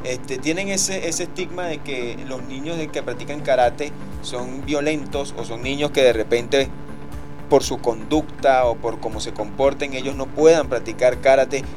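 A man's voice: mid-range voice, normal speed, over music. A man hosting a casual radio talk show, chatty and playfully joking. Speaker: radio host.

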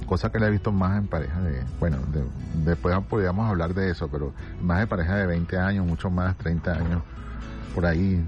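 Male interview host, very deep pitch, thoughtful and halting delivery, slightly dull sound. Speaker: radio host and psychiatrist. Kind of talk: conversational radio interview. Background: background music.

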